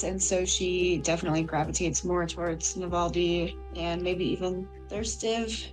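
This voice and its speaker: Female tabletop player, lower register, casual character explanation, faint music bed. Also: rough recording.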